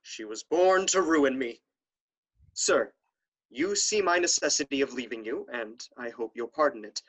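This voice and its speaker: A haughty, protective brother from Restoration stage comedy, played by a man. His husky voice carries agitation and wounded honour in formal, old-fashioned delivery. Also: medium pitch, a little slow, a bit bright, highs cut off. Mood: indignant. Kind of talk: theatrical period comedy acting.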